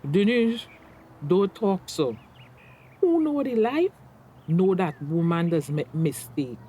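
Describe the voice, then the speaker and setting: An actress playing a sharp-tongued but caring mother in a radio drama, with a Tobagonian accent and expressive, admonishing dialect. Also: on the low side, takes it slowly, slightly rough, full character voice.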